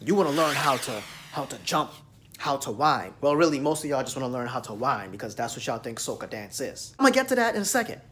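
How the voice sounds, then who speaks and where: A man's voice: medium pitch, very animated, fast, fairly bright tone, slight background noise. An American man in his thirties, ranting and lecturing straight to a phone camera in a small room. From United States. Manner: emphatic, lecturing, direct address. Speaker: dance vlogger. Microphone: phone camera at arm's length.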